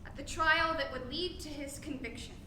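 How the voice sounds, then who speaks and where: A woman's voice: steady tone, moderate pace, bright, forceful tone. A young woman delivering grave, emphatic scripted narration in reader's theater. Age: young adult. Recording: echoey room, distant mic, rumbling noise.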